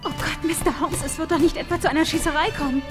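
A woman's voice: sits high, steady tone, fast, music in the background, natural voice. An actress playing a horrified, breathless woman in a Victorian mystery audio drama. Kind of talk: German audio drama acting.

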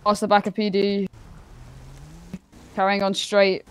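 A woman's voice: medium pitch, completely flat delivery, a little slow, clear, resonant tone. A woman giving casual callouts as a player in a police roleplay game.

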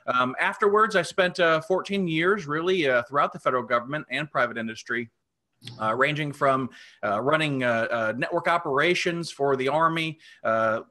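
Halting man in his forties, bright voice. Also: fairly high pitch. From New York state. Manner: halting with many fillers. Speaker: program director. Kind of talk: panel self-introduction. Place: home room. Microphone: webcam on video call.

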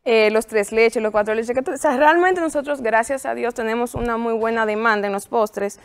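A woman's voice: a little high, moderate pace, clean tone. A woman in her thirties, a guest on a TV studio show, answering questions cheerfully and laughing often. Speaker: guest, pastry business owner. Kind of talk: interview answers.

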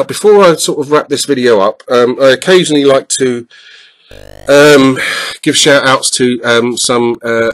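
A man's voice: medium pitch, fairly bright tone, low-quality recording. A middle-aged man with a stuffed-up nose, casually commenting over a retro game with lots of ums and uhs. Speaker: retro gaming YouTuber.

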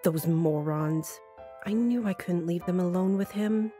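A woman playing a composed, protective vampire mentor in a video game, measured and matter-of-fact. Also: on the low side, dark tone, natural voice. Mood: firm. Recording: music behind the voice.

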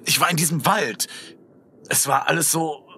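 A man's voice: on the high side, moderate pace, sharp tone, slightly rough, exaggerated voice. A man acting an anxious, insistent young man in an audio drama, stammering and earnest as if shaken by something strange. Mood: agitated, insistent.

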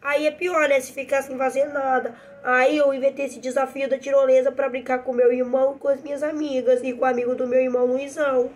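A woman's voice: high voice, level tone, brisk, few pauses, darkish tone, lightly acted. A girl voicing a talkative girl doll with a cold who hosts a toy play and addresses the viewers, over a noisy home recording.

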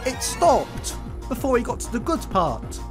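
A man's voice: extremely high pitch, wildly swooping pitch, a little slow, music in the background. A man hosting an informal programming tutorial as a voice-over, playful and lightly sarcastic in manner. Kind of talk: informal screen-recorded tutorial narration. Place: voice-over for screen recording.

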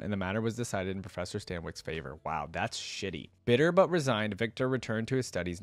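A young man hosting a reaction video, reading lore aloud and adding casual, expressive commentary into a close desk microphone. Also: lowish voice, dry recording. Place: indoor desk setup at home.

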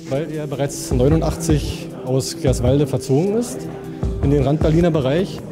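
A man speaking as a police investigator in an interview clip, deliberate and pausing with fillers. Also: a little high, over loud music.

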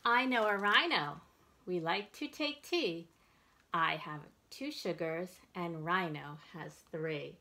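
A middle-aged American woman hosting a children's storytime, reading aloud and explaining in an expressive, deliberate way for young listeners. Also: on the low side. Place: indoors, plain-walled room.

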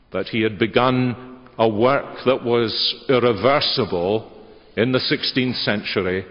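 An older man preaching a measured sermon from a church lectern microphone. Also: medium pitch. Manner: measured, gesturing preaching cadence. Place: large church sanctuary. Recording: dull church webcast audio with long reverb.

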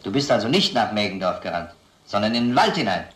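An actor playing a methodical police detective in a crime radio drama, questioning in a measured, insistent way. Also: male voice, on the low side, sing-song, fairly bright tone, reverberant. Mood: probing. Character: probing, methodical male police detective interrogating a suspect.

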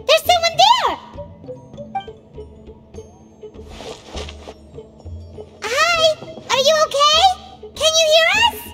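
A woman voicing a tiny, nosy, excitable childlike fairy companion in a video game, exclaiming as she talks. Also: extremely high pitch, full character voice. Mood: excited, curious. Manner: refers to self in third person, exclamatory.

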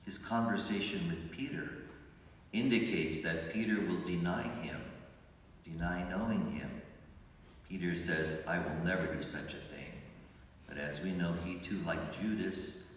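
Deep-voiced older priest in an echoey church. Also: male voice, a bit bright, far from the mic, slight background noise. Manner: measured, reflective preaching with slow emphatic phrases. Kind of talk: homily. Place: large church sanctuary, at a pulpit. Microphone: pulpit mic, recorded by a phone from the pews.

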